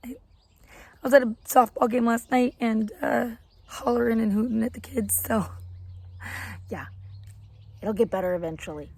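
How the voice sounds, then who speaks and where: A woman with a hoarse, scratchy voice chatting casually and practically about her home garden, outdoors on an earbud mic. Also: a little high, measured pace, long pauses, darkish tone. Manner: chatty, practical, self-deprecating. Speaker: homesteading vlogger. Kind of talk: casual how-to chat. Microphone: wireless earbud mic.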